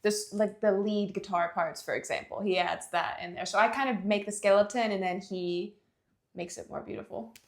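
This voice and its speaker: A woman's voice: mid-range voice, steady tone, moderate pace, vocal fry. A young woman from Georgia, a singer-songwriter chatting casually as an interview guest, laughing often and filling with "you know". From Athens, Georgia.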